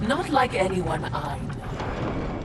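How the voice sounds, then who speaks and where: A woman's voice: medium pitch, very animated, slow. A woman playing a sly, servile spirit attendant in a video game, dry and insinuating. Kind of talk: video game cutscene acting. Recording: echoey, distant, loud background noise.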